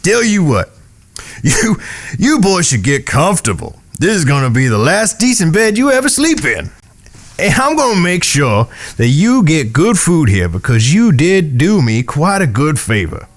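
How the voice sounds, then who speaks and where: An actor playing a smug, corrupt Old West sheriff with a folksy drawl and a taunting manner in an audio drama. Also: male voice, fairly high pitch, sing-song, normal speed. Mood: mocking, amused. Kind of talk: western audio drama acting.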